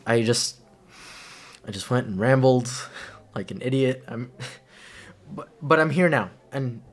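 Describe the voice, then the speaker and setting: A young man playing a caring best friend at a party in an ASMR roleplay, talking casually in a halting, rambling way. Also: medium pitch. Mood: apologetic, caring. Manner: halting, rambling, breathy chuckles. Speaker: roleplay audio performer.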